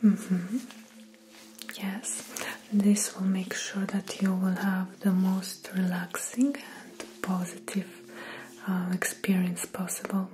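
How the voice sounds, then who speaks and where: Young female, ASMR clinician role play: mellow, breathy near-whisper, unhurried and soothing, faint background noise. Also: mid-range voice, monotone, rough recording. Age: young adult. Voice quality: soft, breathy, half-whispered. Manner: slow, gentle, soothing, with pauses. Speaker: ASMR performer playing a dermatologist. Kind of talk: soft-spoken ASMR medical role play. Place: small room indoors.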